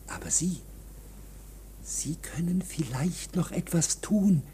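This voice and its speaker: An actor playing a timid, worn-down litigant in a radio drama, anxious, halting and confiding, with a husky voice that often drops to a whisper. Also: male voice, a little high, expressive, mellow. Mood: furtive, resigned. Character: timid, worn-down male litigant, obsessed with waiting. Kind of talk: German radio drama acting.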